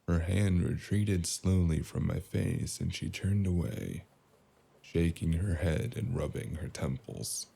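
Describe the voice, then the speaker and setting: A man playing a weary, laconic stranger with a gravelly voice in a noir audio drama. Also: low-pitched, expressive, slow, mellow, heavily acted. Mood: brooding.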